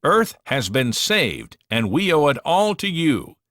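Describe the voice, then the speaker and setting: A man's voice: a little high, very animated, slow pace, a bit bright, dry recording, studio quality, voice pushed up, full character voice. A man voicing a proud, stately storybook president in a formal, declamatory manner. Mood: proud, grateful.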